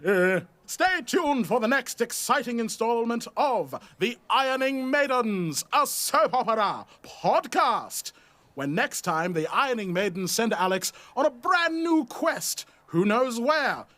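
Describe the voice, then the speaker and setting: A man's voice: very high voice, sing-song, measured pace, a bit bright, dry recording, heavily acted. A man playing a dramatic, teasing narrator of a radio soap serial. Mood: grandly teasing. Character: theatrical announcer narrator of a radio soap opera.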